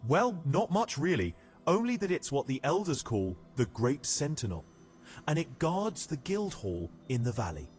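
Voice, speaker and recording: A man's voice: fairly high pitch, huge pitch swings, moderate pace, darkish tone, faint music behind. A man playing a wry, self-preserving guild assassin in a fantasy audio drama. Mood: uneasy.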